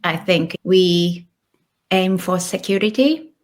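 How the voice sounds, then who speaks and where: A Japanese woman in her thirties, speaking English with a Japanese accent as an interview guest, thoughtful and relaxed with small restarts. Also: lowish voice, slow. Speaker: guest, author and illustrator. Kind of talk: casual interview conversation.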